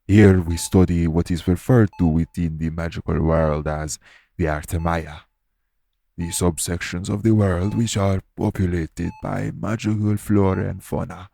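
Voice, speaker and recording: Deep, gravelly, courteous iguana shifter played by a man. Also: fairly even intonation, warm tone, full character voice. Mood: measured, explanatory. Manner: formal, measured, reassuring. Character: calm, courteous iguana shapeshifter, male lead archaeologist. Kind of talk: audio roleplay acting addressed to the listener.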